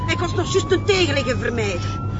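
An actress playing an excitable, blunt Flemish policewoman on patrol in a television crime drama. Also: very high-pitched, fairly quick, over a noisy crowd, heavily acted. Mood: urgent, reporting. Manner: animated, blunt, colloquial. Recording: dull, very noisy, sirens and street bustle.

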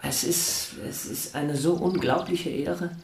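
A gushing flustered violinist, kinda high voice, roomy echoey sound. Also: male voice, takes it slowly, gravelly, a little hiss or hum. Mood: effusive. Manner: gushing, excitable, deferential. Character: effusive, flustered male violinist host. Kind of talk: radio play acting.

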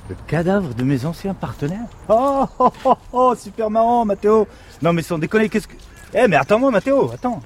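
An actor playing a playful, jittery police sidekick in a French audio drama, chatty and mock-theatrical, with a French accent. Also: male voice, sits high, sing-song, a little fast, slight background noise. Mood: mock annoyed. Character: jokey, excitable, jittery male police sidekick. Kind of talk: naturalistic audio drama acting.

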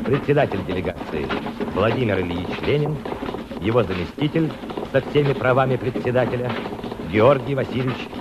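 A man's voice: medium pitch, normal speed. A man reading a formal, official scripted announcement as narrator in a radio play. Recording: dull, very noisy old radio recording.

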